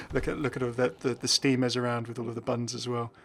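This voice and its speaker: A man's voice: medium pitch, flat delivery, fairly quick, a bit bright, slight background noise. A man giving casual, enthusiastic game commentary in an informal, chuckly way. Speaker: video creator presenting game content.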